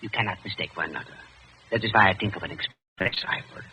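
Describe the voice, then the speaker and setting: An actor playing an older German doctor in a radio drama, genial but evasive and nervous, with a halting, repetitive way of talking and a German accent. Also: male voice, deep voice, pushed and bright. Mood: placating, evasive. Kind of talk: old-time radio drama acting. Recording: muffled, noisy old radio broadcast.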